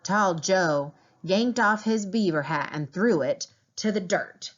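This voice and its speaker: A woman's voice: medium pitch, slow, highs cut off. A woman reading a children's diary novel aloud as a teacher would, in storybook narration.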